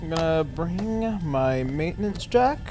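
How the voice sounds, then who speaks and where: Slow-talking, higher-voiced man at game table. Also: animated. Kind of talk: casual in-game chat. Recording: faint music under the voice.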